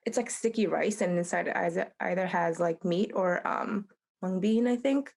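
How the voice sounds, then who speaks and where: A woman's voice: fairly low pitch, normal speed, gravelly, a little mellow. A young woman from Vietnam, a podcast guest, chatting casually and searching for words with many fillers.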